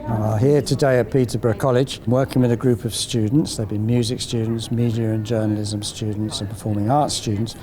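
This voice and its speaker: A man's voice: on the low side, little pitch movement, fairly quick, hardly any pauses, warm tone. A man speaking as a project facilitator in a documentary interview, earnest and explanatory.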